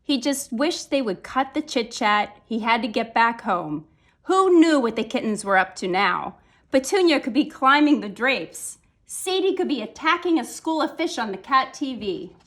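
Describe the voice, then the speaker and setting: A woman reading a children's picture book aloud, phrasing deliberately with dramatic emphasis. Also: fairly high pitch, fairly bright tone. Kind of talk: animated children's story read-aloud.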